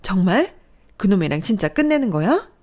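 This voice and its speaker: Woman putting on a yelling bossy mother-in-law, way higher and louder than her narrating voice, storybook drama. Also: sing-song, moderate pace. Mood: furious. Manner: yelling, scolding, harsh. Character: furious controlling middle-aged mother-in-law.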